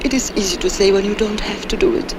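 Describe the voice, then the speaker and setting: A desperate wife, played by an actress, urgent and defiant. Her voice sits at a middle pitch for a woman. Clear city street noise runs behind her in an outdoor alley. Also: quick pace, lightly acted. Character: desperate Hungarian wife defending her husband. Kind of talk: 1960s TV crime drama acting.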